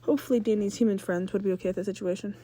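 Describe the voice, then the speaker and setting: A woman's voice: medium pitch, fairly even intonation, very fast, dark tone. A woman reading fan fiction aloud as a story narrator, sometimes stumbling and rereading phrases.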